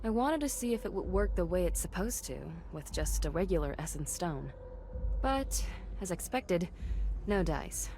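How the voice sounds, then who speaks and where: A woman's voice: lowish voice, expressive, moderate pace, dark tone, a little hiss or hum. A woman playing a confident, businesslike investigator in a video game, matter-of-fact and self-assured. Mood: wry, assured. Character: confident, businesslike female investigator with a dry edge. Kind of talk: scripted video game cutscene acting.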